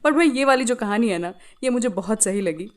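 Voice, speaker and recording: A woman's voice: on the high side, expressive, brisk. A woman with an Indian accent presenting a radio show, telling stories in a chatty, conversational way and switching between two languages. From Indore, India.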